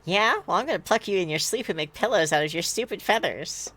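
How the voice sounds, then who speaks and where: A woman's voice: on the low side, lively intonation, fast, a bit bright. A woman voicing a goofy teenage boy in an amateur fan fiction reading, casual, with dry, teasing comebacks. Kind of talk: amateur fan fiction read-aloud, character dialogue.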